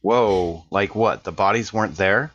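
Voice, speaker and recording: A man playing an earnest, defensive detainee in an improvised tabletop game, protesting in a halting, everyday voice. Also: lowish voice, fairly bright tone, dull audio, voice pushed down.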